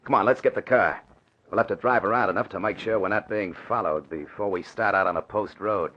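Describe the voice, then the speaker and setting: An actor playing a tough, scheming gangster boss with slangy, bossy talk in an old-time radio drama. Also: male voice, on the low side, brisk, husky. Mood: scheming, wary. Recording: muffled, noisy vintage radio recording.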